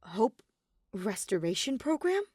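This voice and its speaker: A woman's voice: mid-range voice, sing-song, measured pace, darkish tone, husky, dry recording. Woman as an anxious, stammering young man, dry sound. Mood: bewildered. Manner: hesitant, stammering, urgent questioning.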